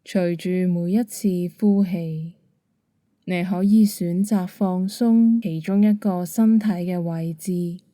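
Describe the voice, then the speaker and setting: A woman leads a bedtime meditation with a Hong Kong Cantonese accent. Her voice is slightly low and very mellow, recorded close and dry. She gives each instruction gently and calmly. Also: slow, dry recording. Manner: calm, soothing, gentle instruction. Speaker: meditation guide. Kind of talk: guided bedtime meditation narration.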